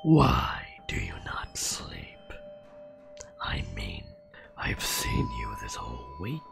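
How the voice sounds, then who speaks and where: Casting: male actor for chatty nightmare spirit, casual and gently scolding, hushed ASMR whisper, extremely slow, music underneath. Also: rumbling low, vocal fry, heavily acted. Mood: curious, put-upon. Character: chatty, teasing male nightmare spirit nagging a sleepless worker.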